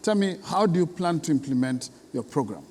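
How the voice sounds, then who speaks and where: A man with a Kenyan accent interviewing conversationally, feeling his way haltingly into his questions. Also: a little high, expressive, darkish tone. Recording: reverberant hall with background noise.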